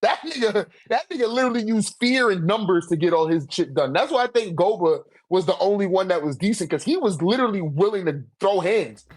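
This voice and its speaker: A man's voice: high-pitched, animated, fairly quick, few pauses, dry recording. A man in a casual, argumentative debate, talking animatedly with heavy slang and swearing. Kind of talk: casual slangy debate about manga rankings.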